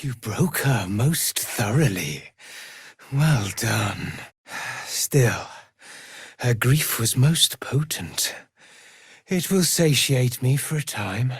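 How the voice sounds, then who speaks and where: A man's voice: medium pitch, expressive, extremely slow, long pauses, slightly rough, no echo, clean studio sound, exaggerated voice. A man playing a solemn, menacing necromancer judge hungry for vengeance in a fantasy video game, speaking formally and theatrically in archaic phrases. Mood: dark relish.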